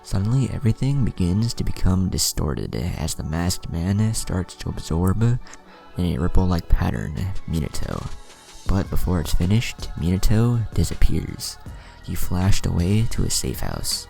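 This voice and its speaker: A man with a gravelly voice reading a scripted fan fiction story as narrator. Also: deep voice, very animated, a little slow, warm tone, soft background music.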